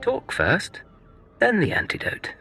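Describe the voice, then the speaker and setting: An actor playing a cool, deceitful secret agent in a video game, with a curt and threatening manner. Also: male voice, high-pitched, huge pitch swings, takes it slowly, vocal fry, sharp tone, slightly rough, soft background music, heavily acted. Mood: cold, threatening.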